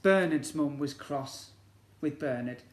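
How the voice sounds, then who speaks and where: A man's voice: a little high, slow pace. A man reading a storybook aloud to young children like a teacher, expressively and with questions to the listeners. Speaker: infant school teacher reading to pupils. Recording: echoey room.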